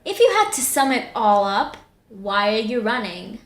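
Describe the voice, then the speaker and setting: A woman's voice: fairly high pitch, big pitch swings, normal speed. A woman playing a perky, earnest news interviewer in a comedic audio drama, asking polite broadcast-style questions. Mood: bright, admiring. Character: female TV news panelist, perky, earnest or starstruck. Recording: roomy echo, faint background noise.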